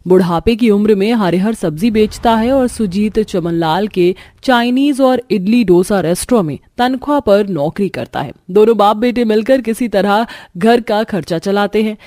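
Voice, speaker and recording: A woman's voice: medium pitch, normal speed, few pauses, mellow, no room sound. A woman giving scripted narration for a cartoon family story.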